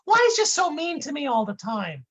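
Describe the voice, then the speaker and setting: A man voicing a whiny, dim-witted male comedy sidekick who talks in a high, nasal whine. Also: wildly swooping pitch, a bit bright, lo-fi sound, exaggerated voice. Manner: high whining, slow-witted.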